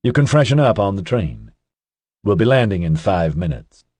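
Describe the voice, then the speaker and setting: A man voicing a calm, composed man in charge who speaks in curt, measured phrases. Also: deep voice, husky, no room sound, exaggerated voice. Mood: matter-of-fact.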